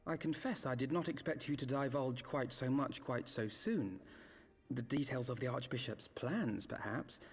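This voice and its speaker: A man's voice: mid-range voice, moderate pace, breathy edge. An actor playing an earnest, articulate young man in a game, with formal, precise phrasing. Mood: concerned. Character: earnest, articulate young male scholar-diplomat. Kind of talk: scripted game cutscene acting.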